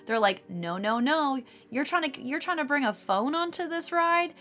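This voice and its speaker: A woman streaming live, chatting casually with her viewers and laughing often, her voice a little raspy. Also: high-pitched, animated, normal speed, fairly bright tone, faint music behind. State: slightly raspy voice.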